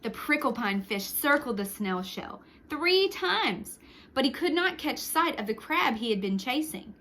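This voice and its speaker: Female storytime reader, high and very animated, expressive picture-book delivery, faint noise behind the voice. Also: unhurried. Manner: expressive, with hushed whispered sound words and asides. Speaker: storytime reader for young children. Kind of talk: read-aloud picture book narration with short asides.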